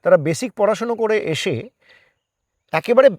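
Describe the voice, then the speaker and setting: A man's voice: high voice, expressive, normal speed, a little mellow, dry recording, studio quality. A man answering conversationally as an interview guest, explaining things and mixing in English words. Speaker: guest, part-time journalist.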